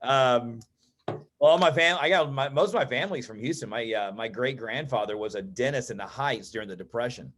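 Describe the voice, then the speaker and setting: An American man from Texas tells personal stories as a guest in an online meeting, in an informal, animated way that often acts out dialogue. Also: mid-range voice, fairly quick. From Texas, United States. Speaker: interview guest, real estate agent and coach.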